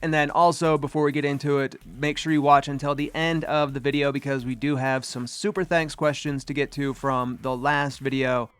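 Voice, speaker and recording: Man, casual host commentary, slightly congested, smooth mid voice, chatty and rambling. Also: mid-range voice, steady tone, normal speed, hardly any pauses, fairly bright tone. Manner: chatty, upbeat and rambling, with fillers. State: slightly congested, recovering from a sinus infection.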